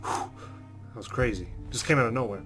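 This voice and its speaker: A man's voice: medium pitch, brisk, music in the background. A man reading a visual novel aloud as a let's play host, in a narrating style.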